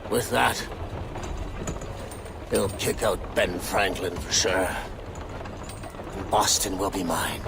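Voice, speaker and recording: An actor playing a manic, gloating military villain in a video game, with a theatrical, sneering, cackling delivery. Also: male voice, low voice, takes it slowly, creaky, pauses often, noisy background, exaggerated voice. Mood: menacing glee.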